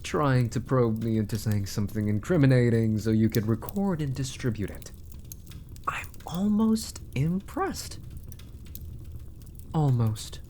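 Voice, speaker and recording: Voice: mid-range voice, animated, a little slow, dark tone, a little hiss or hum, close to an everyday voice. An actor playing a menacing, centuries-old sorcerer-scientist in a fantasy audio drama, with a smug, theatrical delivery that slips into whispers. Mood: cold menace.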